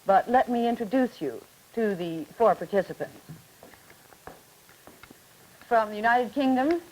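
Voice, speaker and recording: A woman moderating a 1950s television discussion, asking students brisk, polite questions on old television audio. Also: fairly high pitch, animated, darkish tone, a little hiss or hum, poor audio.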